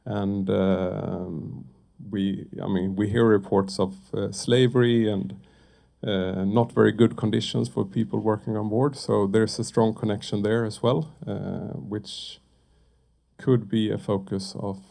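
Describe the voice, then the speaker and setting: A man speaking on a panel, slow and halting with many fillers. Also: fairly low pitch, level tone, vocal fry, dark tone. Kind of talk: panel discussion remarks.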